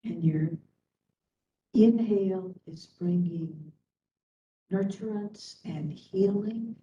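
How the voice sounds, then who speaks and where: A woman instructor leading a guided meditation in a soothing manner, speaking in short phrases with long pauses. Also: warm tone, muffled recording. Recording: roomy, distant mic, faint rumbling noise.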